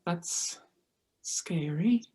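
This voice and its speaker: A woman's voice: fairly low pitch, lively intonation, drawn-out words, dark tone. A woman reading the part of a timid, vulnerable survivor in a drama script table read. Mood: timid, fearful. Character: Emma, timid, frightened pregnant woman survivor.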